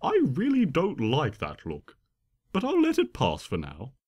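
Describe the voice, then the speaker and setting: Highly animated male story narrator. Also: unhurried, lo-fi sound. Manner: expressive, theatrical. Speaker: fan fiction reader. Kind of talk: scripted first-person story narration. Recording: long hall reverb.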